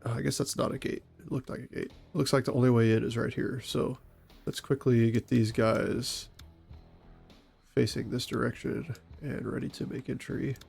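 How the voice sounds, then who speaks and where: A man with a stuffy, congested voice giving calm, methodical commentary on a tactical game. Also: medium pitch, moderate pace, vocal fry, husky, faint music behind. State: stuffy from a cold. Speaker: gaming channel host and SWAT operator.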